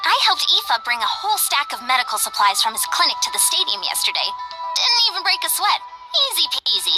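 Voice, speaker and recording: A woman's voice: high-pitched, sing-song, a little fast, music in the background, low-quality recording. A woman voicing an upbeat, confident, athletic coach in an anime game, breezy and self-assured. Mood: breezy, proud. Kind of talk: anime game character dialogue.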